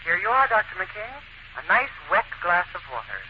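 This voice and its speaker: Through a muffled, noisy 1940s radio recording comes an actress as an eager young female secretary. Her delivery is theatrical and very animated, suited to a detective's sidekick. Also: medium pitch, moderate pace, lightly acted. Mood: perky.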